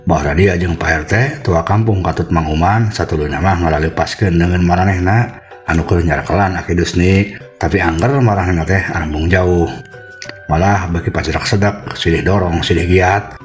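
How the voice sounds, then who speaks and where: Male storyteller, Sundanese accent: deep register, expressive folk-tale narration over a light music bed. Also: normal speed.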